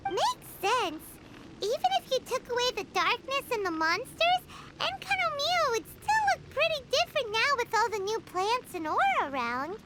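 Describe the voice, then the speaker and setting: A little fairy companion from a video game, extremely high and squeaky. The delivery is childlike and lively, naive but blunt. Loud game noise sits behind the voice. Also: female voice, moderate pace. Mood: curious.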